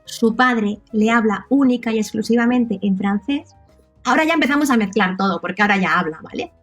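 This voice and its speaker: Higher-pitched Catalan-accented woman chatting. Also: fairly quick, faint music behind. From Catalonia, Spain. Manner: chatty, frequent tag questions. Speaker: podcast guest. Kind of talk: casual conversational interview.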